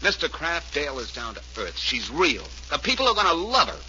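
An American actor playing a pushy, slangy, brusque talent manager in a 1940s radio crime drama. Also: male voice, on the high side, wildly swooping pitch, fairly quick, pushed and bright, full character voice. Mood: eager, selling. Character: pushy, fast-selling, slangy talent manager, tough and protective. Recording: muffled 1940s radio recording, faint hiss.

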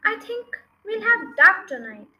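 Woman reading as a high-voiced, cautious mother fox. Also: very animated, unhurried, bright, forceful tone, exaggerated voice. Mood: requesting. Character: caring, cautious female fox wife and mother.

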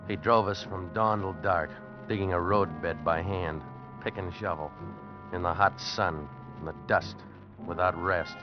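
Low-voiced, desperate American fugitive. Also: male voice, fairly even intonation, a little slow, music in the background. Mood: bitter, tormented. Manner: intense, dramatic, agitated pleading. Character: desperate American fugitive narrating his ordeal. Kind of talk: 1940s-50s radio drama narration and acting. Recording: muffled old radio recording.

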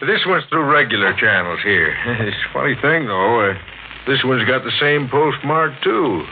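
A man's voice: mid-range voice, animated, moderate pace, close to an everyday voice. An actor playing a casual, chatty postman in a 1940s radio drama. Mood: easygoing. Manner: casual, folksy, easygoing. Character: easygoing, chatty male mail carrier. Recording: tinny old radio broadcast with noise.

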